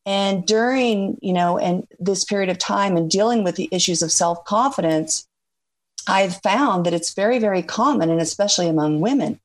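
A woman's voice: medium pitch, normal speed. A middle-aged woman guesting on a faith-based radio interview, talking earnestly and reflectively with frequent fillers. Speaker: guest, former executive.

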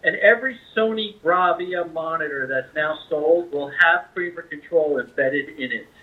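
A man speaking as a company representative, giving a conversational product pitch as an interview guest over a phone line. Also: normal speed. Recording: tinny narrow-band phone line.

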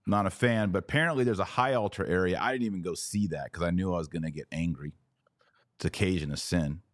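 A man's voice: deep voice, quick pace, no room sound, clean studio sound. A man hosting a podcast in casual, conversational commentary with a blunt, opinionated way of talking. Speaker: podcast host.

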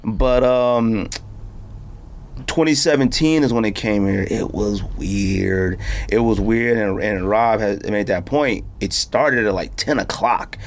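A man's voice: on the low side, slow pace, slight background noise. A man hosting a podcast, talking in a casual, slangy, conversational way. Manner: informal slangy talk. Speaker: podcast host. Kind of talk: casual sneaker podcast chat.

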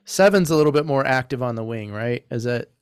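A man's voice: medium pitch, expressive, a little fast, clear, resonant tone, dry recording, clean studio sound. A man, a team chaplain, interviewing a player with long, rambling questions. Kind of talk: casual interview.

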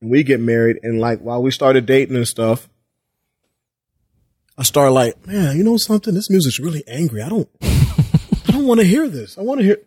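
A man in his forties talking as a podcast guest in casual chat, animated and swearing freely, often repeating himself. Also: medium pitch, normal speed, pauses often, breathy edge, dry recording, studio quality. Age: 40s. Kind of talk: casual conversation.

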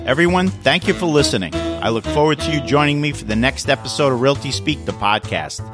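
A man's voice: medium pitch, expressive, fairly quick, over music. A man hosting a real estate podcast interview, talking in an upbeat, enthusiastic way. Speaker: podcast host.

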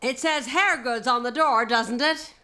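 Brusque, slow-drawling older shopkeeper woman. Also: high-pitched, pushed and bright. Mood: impatient, curt. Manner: curt, haggling, impatient. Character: brusque older woman hair-goods dealer, blunt and impatient. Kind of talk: stage-style radio drama acting.

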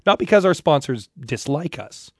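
A man's voice: fairly high pitch, very animated, moderate pace, slightly rough, no echo, studio quality. A man chatting casually as a podcast co-host. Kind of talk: casual chat.

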